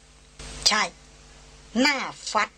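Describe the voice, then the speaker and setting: A woman reading the part of a calm young man on a hunting trek, speaking evenly and dryly. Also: drawn-out words, slight background noise. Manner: calm, even, dry.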